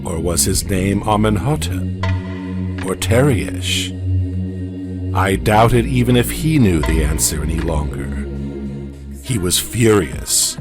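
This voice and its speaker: A man narrating a scripted fantasy story in a solemn, theatrical, deliberate manner. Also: low-pitched, expressive, loud music behind the voice. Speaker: narrator of an audio comic.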